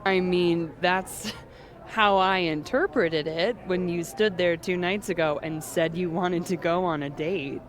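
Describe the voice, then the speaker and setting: Woman as a slow-talking, wry young woman. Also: lowish voice, close to an everyday voice. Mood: hurt, frank. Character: young woman bartender, blunt, wry, easygoing, mildly hurt. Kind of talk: naturalistic video game acting.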